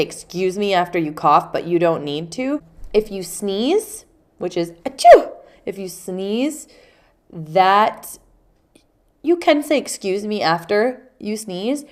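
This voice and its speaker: Stuffy-nosed woman teaching slowly. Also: lowish voice. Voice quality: congested, nasal. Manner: patient, explains with spoken examples. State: stuffy nose from a cold. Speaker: English teacher. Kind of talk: casual vocabulary lesson.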